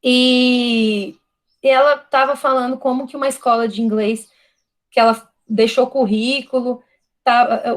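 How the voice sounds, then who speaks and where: A Brazilian woman giving an online university lecture, talking thoughtfully with frequent tag questions and restarts. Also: a little high. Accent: Brazilian Portuguese. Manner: thoughtful, many "né?" tags and restarts. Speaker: guest lecturer.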